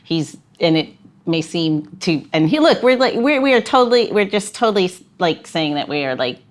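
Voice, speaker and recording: A woman's voice: lowish voice, sing-song, normal speed. A woman hosting a podcast, chatty and joking, who laughs often. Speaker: podcast host. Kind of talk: casual podcast chat.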